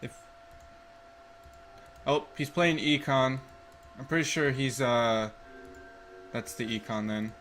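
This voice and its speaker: A man's voice: medium pitch, a little hiss or hum. Swearing young man streaming a card game. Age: 20s. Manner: swearing, thinking aloud, quick bursts of excitement. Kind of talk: casual gameplay commentary. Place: room indoors.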